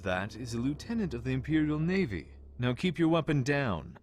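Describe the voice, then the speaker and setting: An actor playing a persuasive space pirate leader, controlled and wry, in an audio comic dub. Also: male voice, medium pitch, very animated, moderate pace, darkish tone, slight background noise, lightly acted. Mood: controlled, bargaining. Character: smooth-talking, bargaining male space pirate leader.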